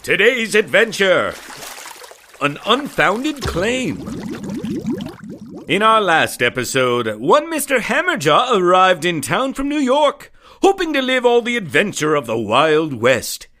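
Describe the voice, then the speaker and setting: A man's voice: high voice, huge pitch swings, slow pace. A man narrating as the announcer of an old-time radio western serial, in a dramatic style. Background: loud music.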